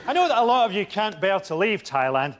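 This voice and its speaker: A man comedian doing quickfire improvised lines in a mock tour-guide announcer manner. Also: high voice, sing-song, music in the background, a crowd in the background. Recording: loud audience noise.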